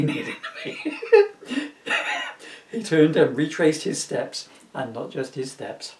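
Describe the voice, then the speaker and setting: A man's voice: fairly high pitch, darkish tone. A middle-aged man reading a comic story aloud with chatty asides, jokey and easily tickled, stopping to interrupt himself. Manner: giggly, jokey, self-interrupting. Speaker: author reading own comedy book aloud. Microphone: camera at some distance. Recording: roomy echo, distant microphone.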